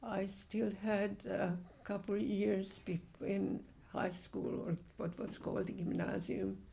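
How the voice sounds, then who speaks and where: A woman, answering audience questions about her life in a slow, halting way with frequent restarts. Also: medium pitch, level tone, gravelly, warm tone. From Hungary. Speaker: Holocaust survivor speaker.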